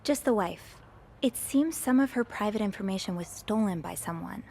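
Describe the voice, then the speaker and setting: An actress playing a young, curious and polite hotel maid in a video game. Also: female voice, mid-range voice, animated, moderate pace, creaky, warm tone, a little hiss or hum. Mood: intrigued.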